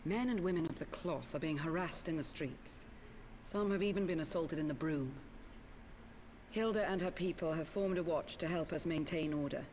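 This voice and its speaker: A woman playing a serious, dutiful officer in a game, speaking formally and gravely. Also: low-pitched, moderate pace, a little mellow, slight background noise, close to an everyday voice. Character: serious, dutiful knight's officer giving grim report. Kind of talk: scripted game cutscene acting.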